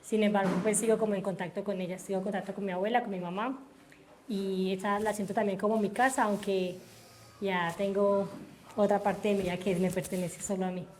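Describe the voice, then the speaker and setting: A woman's voice: medium pitch, fairly even intonation, brisk, darkish tone, slight background noise. A young woman with a Medellín Colombian accent, talking in an earnest, reflective way about her life as a breaking teacher. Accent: Colombian Spanish, Medellín. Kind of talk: documentary interview and walk-through.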